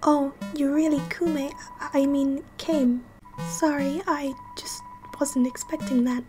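A woman's voice: sits high, little pitch movement, slow pace, music in the background. A woman voicing a timid, gloomy ghost in a comedic game dub, hesitant and constantly apologetic, sometimes slipping toward a whisper. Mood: meek, gloomy. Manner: hesitant, self-effacing, partly whispered.

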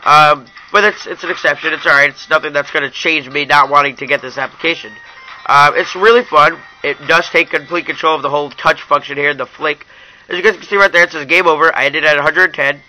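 A man's voice: a little high, fairly even intonation, a little fast, bright, forceful tone, slight background noise, rough recording. A young man narrating a casual, hands-on app review in an informal way, talking straight to his viewers. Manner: informal, addresses viewers as you guys.

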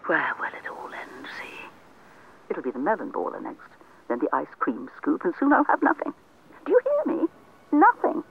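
An actor playing a nagging, aggrieved elderly mother, creaky and breathy, scolding down a telephone line. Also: medium pitch, slight background noise, full character voice. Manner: scolding, querulous.

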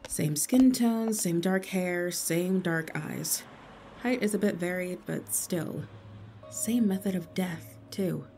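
Actress as a mellow, businesslike police investigator. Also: female voice, fairly low pitch, takes it slowly, faint music behind. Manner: matter-of-fact, dry. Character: businesslike, suspicious, wry female police investigator. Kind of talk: audio drama acting.

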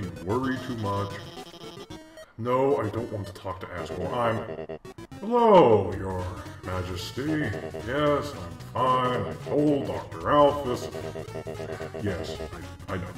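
A man playing a cold, clinical monster scientist in a comic dub, speaking formally and deliberately with hidden weariness. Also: fairly low pitch, big pitch swings. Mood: flustered, evasive. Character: cold, clinical male mad scientist monster, secretly weary. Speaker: scientist experimenting on his creations. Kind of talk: comic dub dramatic acting. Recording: distant echoey voice under loud music.

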